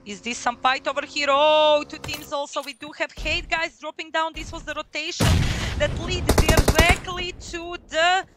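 High-voiced Croatian woman casting a shooter. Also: moderate pace, heavy background noise. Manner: excitable, rising to shouts at big plays. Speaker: streamer casting a mobile game tournament. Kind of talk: live esports commentary. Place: small room at home, indoors. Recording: loud gunfire from game audio.

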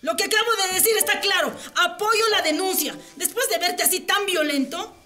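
A woman's voice: high voice, sharp tone. A woman acting a defiant, long-mistreated wife and mother in a radio soap, firm and emotional.

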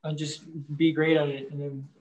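A young man, a college quarterback, answering post-game press questions in a halting way, leaning on "I mean" and crediting his teammates. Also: fairly high pitch, flat delivery, darkish tone, rough recording. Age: young adult. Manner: halting, starts nearly every phrase with "I mean".

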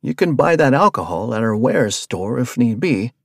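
A man's voice: mid-range voice, sing-song, normal speed, gravelly, husky, dry recording, studio quality, voice pushed up, exaggerated voice. Man doing a stiff, formal clerk. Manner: formal and curt. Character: stiff rule-bound male supply clerk.

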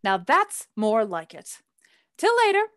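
Playful son Herbert, very animated. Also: female voice, mid-range voice, a little slow, a little hiss or hum. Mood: wry, playful. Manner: playful, sarcastic. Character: Herbert, teasing grown son in a family. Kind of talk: radio play acting.

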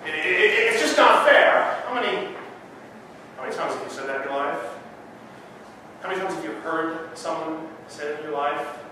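A man's voice: on the high side, normal speed. A man in his thirties, a pastor preaching and reading scripture with earnest emphasis in a church sanctuary. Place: church sanctuary with pipe organ. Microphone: far camera. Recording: echoey church from far off, noisy.

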